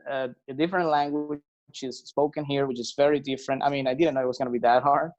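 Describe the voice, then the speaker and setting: A man's voice: medium pitch, quick pace. Venezuelan-born man, slightly dull lo-fi sound. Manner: relaxed, hesitant with many fillers and restarts. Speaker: podcast guest, musician. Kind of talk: casual conversational interview.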